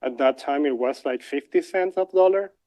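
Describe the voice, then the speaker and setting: A young man from Venezuela with a Spanish accent, telling a personal story as a lecturer, halting with many fillers and restarts. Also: fairly high pitch, warm tone.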